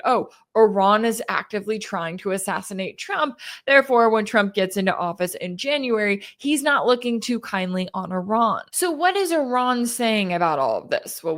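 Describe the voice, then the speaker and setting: A woman hosting a news commentary show, speaking in a conversational, emphatic and skeptical way. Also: medium pitch. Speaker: independent news commentator and host. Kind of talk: opinionated news commentary to camera.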